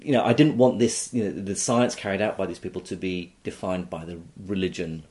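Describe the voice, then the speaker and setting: Male author guest, very low voice, discursive and full of "you know" asides. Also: fairly even intonation, a little fast, a little mellow. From Iraq. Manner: explanatory, many fillers and restarts. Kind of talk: interview conversation.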